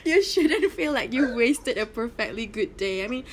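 A woman's voice: a little high, animated, moderate pace, slight background noise. A woman co-hosting a casual podcast from home over a call, playful and teasing, often laughing. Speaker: podcast co-host. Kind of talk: casual chat.

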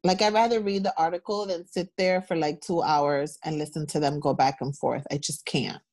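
Easygoing lower-voiced woman. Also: steady tone, normal speed, a little mellow. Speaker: podcast co-host. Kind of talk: casual chat.

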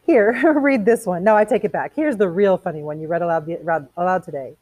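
A woman's voice: on the low side, quick pace, warm tone, no room sound, natural voice. A woman voicing a nervous, fussy housewife from a novel, quavery and coaxing with forced cheer. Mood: brittle cheer.

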